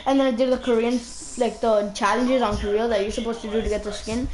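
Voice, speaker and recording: A woman's voice: a little high, steady tone, fast talker, darkish tone, low-quality recording. A woman streaming a video game, chatting casually and giggling as she plays, talking into a gaming mic. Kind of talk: casual chat while playing. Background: game music.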